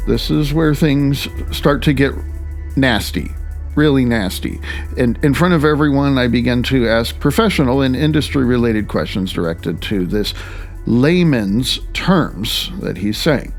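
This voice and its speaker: A man reading his own first-person audiobook as its author, in a halting, wry and self-deprecating way, stumbling over words now and then. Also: medium pitch, faint music behind.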